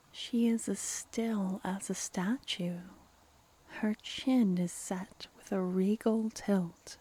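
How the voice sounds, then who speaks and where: Very slow, breathy woman narrating softly. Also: medium pitch. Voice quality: soft, breathy, near-whisper. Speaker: ASMR roleplay narrator. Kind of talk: softly spoken ASMR, scripted second-person story narration.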